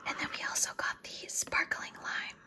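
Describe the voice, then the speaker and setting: A woman giving a whispered ASMR grocery haul, speaking in a soft, unvoiced whisper at her kitchen counter. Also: normal speed. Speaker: ASMR creator. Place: home kitchen counter indoors.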